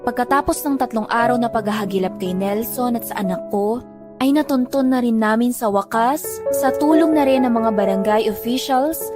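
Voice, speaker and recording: Anguished mother narrating over loud music, acted by a woman. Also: on the high side, natural voice. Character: anguished mother recounting her search for her child. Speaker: narrator of an audio drama. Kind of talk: scripted first-person dramatic narration. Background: dramatic music.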